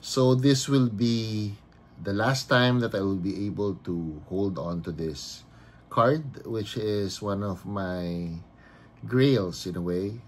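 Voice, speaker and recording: A Filipino man in his fifties talks at an easy pace with plenty of pauses and fillers, slipping Tagalog phrases into his English. His voice sits fairly low, and a faint hum of room ambience is behind him. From Philippines. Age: 50s. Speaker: vlogger and card collector. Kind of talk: casual vlog talk.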